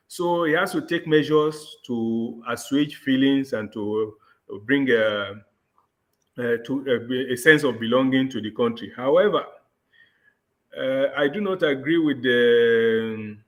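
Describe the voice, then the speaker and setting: Slow, deliberate man. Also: medium pitch. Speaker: ambassador, panel guest. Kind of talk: measured political commentary.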